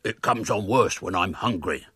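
A man doing a deep, throaty put-on boy. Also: moderate pace, a bit bright, breathy edge, no echo, clean studio sound. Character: scheming schoolboy faking a slow-witted town boy.

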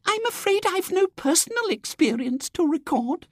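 A squeaky, breathless little spinster. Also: female voice, very animated, moderate pace, darkish tone, dry recording, studio quality, full character voice. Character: shrill excitable timid little spinster lady.

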